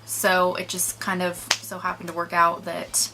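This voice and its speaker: A woman's voice: lowish voice, monotone, unhurried, bright, forceful tone, slight background noise, low-quality recording. A woman co-hosting a movie review, talking in a casual, chatty way.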